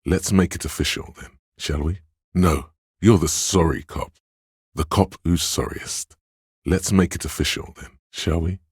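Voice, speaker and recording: An actor voicing a sly, coaxing inner voice of a detective's mind in a video game, deliberate and theatrical, with a gravelly, husky tone. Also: male voice, deep voice, steady tone, no room sound, studio quality, heavily acted. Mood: mocking, sardonic. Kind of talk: scripted game voice-over narration.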